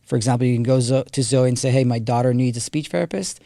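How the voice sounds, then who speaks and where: An Israeli-accented man in his thirties speaks with a gravelly, mellow voice. He is earnest and explains things step by step. The sound is dry and close. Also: mid-range voice, fast talker, dry recording. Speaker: company co-founder, guest. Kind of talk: podcast interview.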